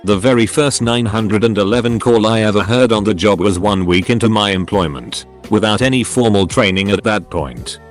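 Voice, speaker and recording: A man's voice: lowish voice, moderate pace, few pauses, faint music behind. A man reading forum stories aloud as a scripted online narration.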